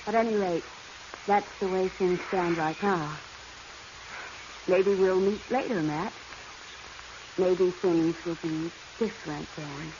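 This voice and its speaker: An actress playing a cool, noncommittal love interest in a 1940s radio drama. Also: female voice, lowish voice, slow, pauses often. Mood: cool, wistful. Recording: muffled, noisy vintage radio recording.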